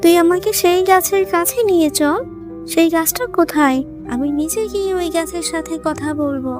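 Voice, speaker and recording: A woman's voice: extremely high pitch, moderate pace, over music. A woman voicing a poor, worried village mother in a cartoon. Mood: determined. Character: poor village mother, anxious but grateful.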